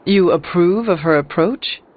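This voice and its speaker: A man's voice: high voice, a little slow. An actor playing an earnest, plain-spoken male warrior hero in a video game, speaking formally and precisely. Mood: firm. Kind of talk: scripted video game dialogue. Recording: thin phone-like band, music behind.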